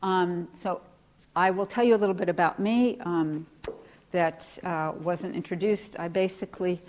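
Brief: middle-aged woman from Ecuador, American accent, low pitch, guest lecturer, informal and full of fillers. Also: steady tone, measured pace, darkish tone, slight background noise. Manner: conversational, frequent fillers and restarts. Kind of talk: lecture to a class.

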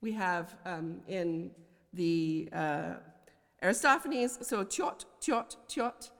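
The long reverb of a lecture hall surrounds an American woman's slightly low voice. She lectures like a professor of poetry, in a scholarly way that makes room for informal, conversational asides. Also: slow pace, vocal fry, fairly bright tone. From United States. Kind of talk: academic lecture. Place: large indoor lecture hall.

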